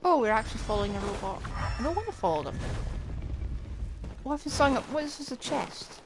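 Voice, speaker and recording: A woman's voice: on the high side, big pitch swings, fast, background noise. A woman doing live gameplay commentary, thinking aloud with blunt remarks. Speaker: game streamer.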